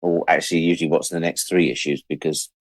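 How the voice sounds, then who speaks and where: A man's voice: very deep voice, fast, no echo. A man in his sixties with an English accent, telling anecdotes in a casual interview, self-deprecating and acting out what people said. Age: 60s. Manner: animated storyteller, self-deprecating, acts out quoted remarks. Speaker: interview guest, writer and editor.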